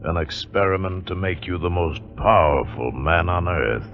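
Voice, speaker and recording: An actor playing an ominous, menacing figure in a 1950s radio suspense teaser. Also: male voice, low voice, moderate pace. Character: ominous male voice announcing a sinister experiment. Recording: muffled 1950s radio recording, music behind.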